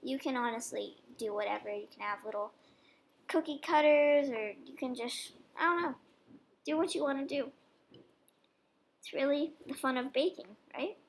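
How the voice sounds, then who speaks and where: A woman's voice: high voice, moderate pace. A young woman casually explaining step by step how she makes dog treats. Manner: chatty, relaxed, explains each step. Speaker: vlogger baking dog treats. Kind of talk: casual cooking demonstration voice-over.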